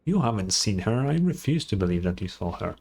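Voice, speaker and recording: A man's voice: lowish voice, very animated, fairly quick, creaky, darkish tone, husky. A man giving casual live reaction commentary as a game streamer, with music behind him.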